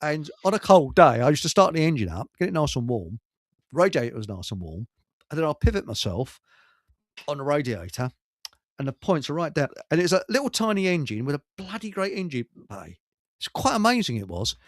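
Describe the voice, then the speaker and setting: Animated, chuckling older man. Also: on the high side, brisk, no echo. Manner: jovial, chuckles through his stories. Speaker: radio show host. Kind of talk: casual chat and rambling anecdotes.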